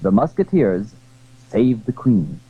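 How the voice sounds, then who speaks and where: A man's voice: on the low side, very animated, slow pace, phone-quality sound, very noisy. A man narrating a classic adventure story in a dramatic, scripted style as the narrator of an audio drama.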